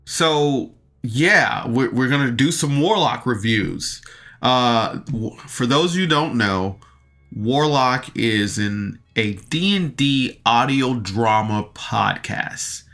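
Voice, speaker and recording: A man with a bright, forward voice at an average pitch talks like an excited fan reviewing a show on his own. He rambles casually, stopping to rephrase and double back, with slangy wording along the way. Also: slow, faint music behind. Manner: rambling, hesitant, often backtracking and rephrasing. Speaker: podcast reviewer.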